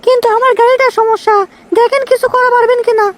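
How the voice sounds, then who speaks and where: A performer voicing a brisk, bossy village mother in a comic cartoon skit, with a squeaky voice and a Bogura accent from northern Bangladesh. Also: little pitch movement. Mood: impatient. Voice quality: very high, squeaky pitched-up voice.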